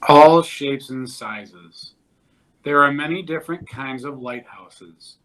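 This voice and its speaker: A man reading a children's nonfiction book aloud in a measured, deliberate way. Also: fairly high pitch, fairly bright tone, low-quality recording.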